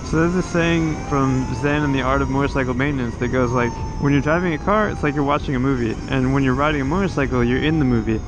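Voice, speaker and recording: An easygoing American man talks through his ride in a loose, musing way, with plenty of fillers and asides. His voice sits at an ordinary pitch. Road and outdoor noise runs clearly underneath him. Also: fast talker, few pauses. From United States. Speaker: motovlogger host. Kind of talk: casual vlog chat while riding. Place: outdoors on an electric motorcycle.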